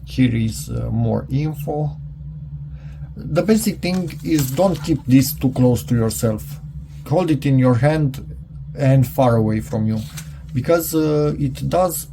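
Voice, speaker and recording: A man thinking aloud in a casual, unscripted hands-on product review, halting and muttering to himself as he goes. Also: medium pitch, gravelly, background noise.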